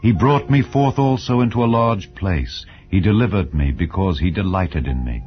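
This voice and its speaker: A man with a low, deep voice reads scripture as an audiobook narrator. His delivery is solemn, measured and declamatory, shaped for archaic English. The recording is slightly dull and lo-fi, with soft music playing underneath. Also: animated. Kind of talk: solemn dramatized scripture reading. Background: background music.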